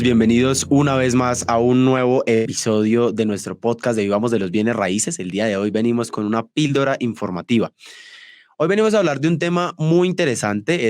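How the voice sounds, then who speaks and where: A man's voice: mid-range voice, no echo, clean studio sound. A man hosting a podcast interview, upbeat and keen, with frequent short "súper" replies as his guest answers. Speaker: podcast host.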